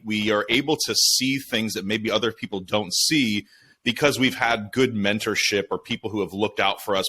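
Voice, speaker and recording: A man's voice: lowish voice, bright, forceful tone. A man from the United States hosting an interview podcast, asking questions in a friendly, enthusiastic way. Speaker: podcast host. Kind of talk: casual interview chat.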